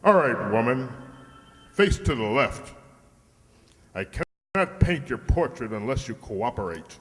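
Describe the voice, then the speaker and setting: An actor playing a gruff, imperious portrait painter with a curt, blunt manner in a radio comedy. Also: male voice, medium pitch, sing-song, a little slow, faint music behind. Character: gruff, brusque, macho male portrait painter. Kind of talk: old radio comedy acting. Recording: long hall reverb.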